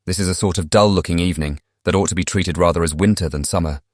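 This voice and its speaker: Male actor as playful young British gentleman, deep voice, dry close sound, smooth teasing politeness, classic novel dramatization. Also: fast talker, no echo. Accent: British English.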